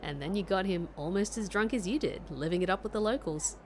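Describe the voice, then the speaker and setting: A woman's voice: on the low side, brisk, a little mellow, slight background noise, close to an everyday voice. An actress playing a perceptive woman in an audio drama, sincere and laid-back with a teasing edge. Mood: brisk, teasing. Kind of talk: acted audio drama dialogue.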